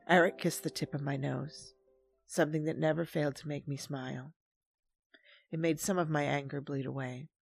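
Female soldier, creaky voice, commanding, earnest, firm, audio drama, faint music bed. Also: sits low, steady tone, moderate pace, no echo, exaggerated voice. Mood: grieving, tender. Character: determined, commanding female soldier and ship's officer. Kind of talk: first-person audio drama narration and dialogue.

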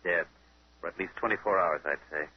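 A man's voice: low voice, fairly quick, slight background noise, close to an everyday voice. An American man playing a keen, articulate detective who reasons aloud, in 1930s radio acting. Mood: grave. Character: keen, deductive male detective, inspector's grown son. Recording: dull old 1930s radio recording.

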